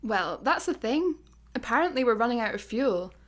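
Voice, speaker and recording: Scottish female space worker, high pitch, very lively intonation, blunt, sardonic, radio comedy. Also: moderate pace. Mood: incredulous. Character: blunt, exasperated young female satellite worker. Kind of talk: sci-fi comedy radio acting.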